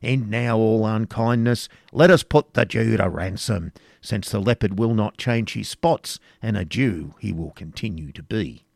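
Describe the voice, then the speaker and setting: A man's voice: fairly low pitch, a little slow, gravelly, hardly any pauses, no room sound, clean studio sound. Man playing a blustering, quarrelsome medieval friar. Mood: gruff, conciliatory. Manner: archaic, bombastic, quarrelsome. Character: burly, belligerent, blustering medieval friar, quick to fight.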